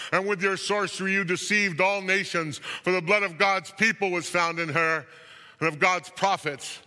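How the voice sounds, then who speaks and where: Man as a stern, forceful mighty angel. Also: on the high side, pitched above the performer's own voice. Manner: commanding, insistent, declamatory. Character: mighty, stern angel proclaiming judgment and summons. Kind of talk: dramatic scripture reading. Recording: long hall reverb.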